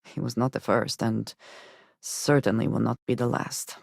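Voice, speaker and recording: A woman voicing a guarded, serious female space explorer companion in a video game, with measured, formal diction. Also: very deep voice, fairly even intonation, creaky, darkish tone, no room sound, clean studio sound, exaggerated voice. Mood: stern, disapproving. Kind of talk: video game character dialogue.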